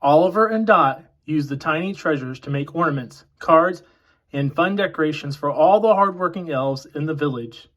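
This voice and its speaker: A man reading a children's picture book aloud in a deliberate storytelling way. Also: fairly high pitch, a bit bright, dull audio.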